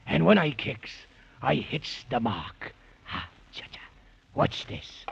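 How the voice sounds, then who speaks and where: A man acting a boisterous, wisecracking old horse sidekick with a gravelly voice in a 1930s comic radio play for children. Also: medium pitch, very animated, takes it slowly, full character voice. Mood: gleeful. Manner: brash, vaudeville catchphrases and exclamations. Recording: muffled, very noisy 1930s radio recording.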